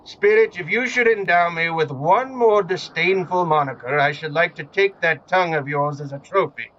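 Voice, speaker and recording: A man's voice: a little high, normal speed, a bit bright, lo-fi sound, a little hiss or hum, lightly acted. A man playing a miserly, grumpy old man in an audio play, with an indignant, curmudgeonly manner. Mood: indignant, defiant.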